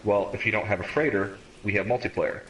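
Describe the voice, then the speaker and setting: A man's voice: fairly low pitch, quick pace, fairly bright tone. A man in his thirties, raised in America, hosting a casual gaming stream chat and rambling with repeated words as he thinks aloud. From Cayman Islands, raised in America. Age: 30s. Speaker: stream host. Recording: roomy, distant mic with faint noise.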